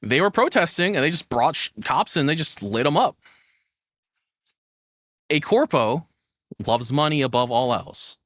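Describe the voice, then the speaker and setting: A man's voice: fairly high pitch, sing-song, a little slow, pauses often, fairly bright tone, no room sound, clean studio sound. A man giving blunt, opinionated, off-the-cuff commentary as a streamer, with casual swearing. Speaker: streamer reacting to a video.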